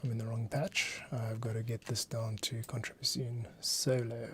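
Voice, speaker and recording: Composer narrating own work: casual, muttered, self-directed, mid pitch, music underneath. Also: level tone, normal speed, fairly bright tone. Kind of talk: casual thinking aloud while working.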